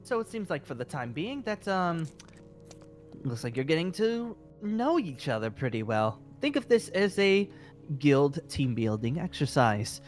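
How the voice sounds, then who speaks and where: Guild master mage played by a man: higher-pitched, cheerful, rambling and courteous, hesitant delivery, game roleplay. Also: very animated, faint music behind. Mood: genial, modest. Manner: hesitant, halting, polite. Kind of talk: improvised Minecraft roleplay acting.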